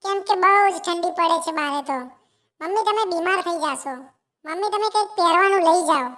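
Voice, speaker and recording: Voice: very high-pitched, brisk, fairly bright tone. A performer voicing an eager young sparrow chick in a children's cartoon tale, pleading insistently and repeating itself. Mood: concerned. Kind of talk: animated children's story dialogue.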